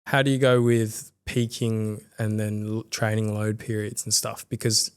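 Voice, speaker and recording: A man hosting a podcast, asking short questions and chiming in with relaxed, casual remarks. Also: on the low side, measured pace, clean tone. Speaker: podcast host. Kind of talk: casual interview chat. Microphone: studio podcast mic.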